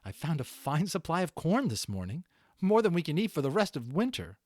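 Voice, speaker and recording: A man voices a practical mother mouse in a storybook. The tone is earnest and caring, lifted in pitch, with expressive swings and a dry, close sound. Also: a little fast, dry recording. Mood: tender concern. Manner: tender, soothing, earnest.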